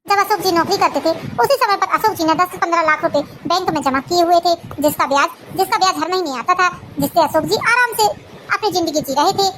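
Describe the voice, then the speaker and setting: A woman's voice: extremely high pitch, a little fast, background noise. A woman storyteller narrating a cartoon family drama.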